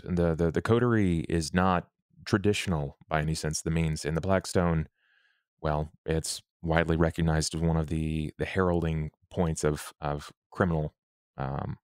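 Very deep-voiced man explaining, haltingly. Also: dry recording, clean studio sound. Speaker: tabletop player. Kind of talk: improvised in-game description.

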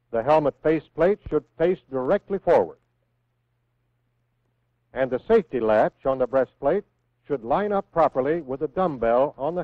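An American man reading scripted instructions in a measured, clearly enunciated way, as the narrator of a 1960s military training film. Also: a little high, no room sound. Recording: phone-like vintage 1960s film soundtrack.

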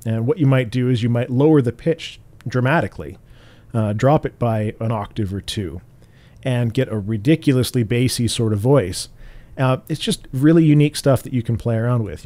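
A man with a bassy voice walks through software steps in a relaxed, explanatory way, with fillers and restarts. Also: brisk. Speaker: tutorial host. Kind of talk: screen-recorded software tutorial.

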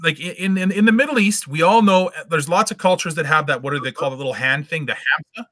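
A middle-aged man with a bright, slightly high voice presses his argument in an online debate. He lectures insistently and repeats words to hold the floor, heard dry over a home webcam call. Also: quick pace, no echo. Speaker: debate guest. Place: home room. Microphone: webcam video call.

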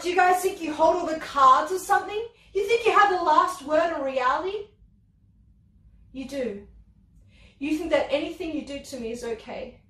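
A defiant, foul-mouthed young former waitress, voiced by a woman, her voice pitched very high. She snaps and swears in profane, confrontational bursts. The sound is roomy and echoing, and it is picked up from a distance. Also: a bit bright, heavily acted. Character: fiery young woman, ex-waitress turned office worker. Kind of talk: dramatic monologue performance. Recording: roomy sound from away from the mic.

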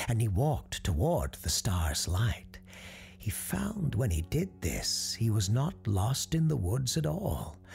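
Slow, gravelly, theatrical storyteller. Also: medium pitch, a little mellow. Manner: theatrical, measured. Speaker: host and storyteller in a fiction podcast. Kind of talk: dramatic scripted ghost-story narration.

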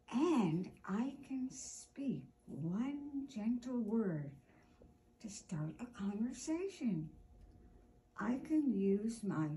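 Woman, 60s, children's storytime reader. Lively, swooping intonation, unhurried delivery, drawn-out key words. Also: a little high, a little hiss or hum. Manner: deliberate, expressive, with drawn-out words for emphasis. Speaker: storytime host reading a picture book aloud. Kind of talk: children's storytime read-aloud. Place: indoor room with armchair.

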